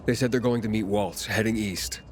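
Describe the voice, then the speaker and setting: Man acting a low-voiced, determined young survivor. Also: steady tone, fairly quick, noisy background, lightly acted. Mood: focused. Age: young adult. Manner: terse, resolute. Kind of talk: video game character dialogue. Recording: music behind the voice.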